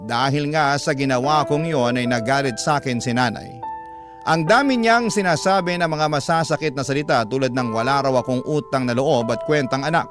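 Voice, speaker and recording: A man's voice: mid-range voice, natural voice. A man playing a long-suffering breadwinner with a Filipino accent, telling a family story as dramatic radio letter narration. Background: background music.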